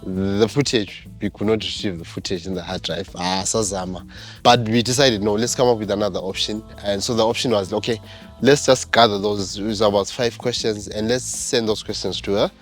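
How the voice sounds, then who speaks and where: Male host, very deep, halting delivery, soft music underneath. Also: fairly even intonation. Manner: hesitant, many ums and uhs. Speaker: show host. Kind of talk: casual spoken introduction.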